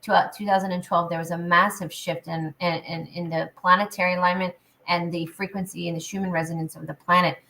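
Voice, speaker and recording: Lively spiritual healer woman guest. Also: on the low side, fast, creaky, few pauses, slight background noise, rough recording. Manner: energetic, many fillers and restarts. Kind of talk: interview answers, spiritual talk.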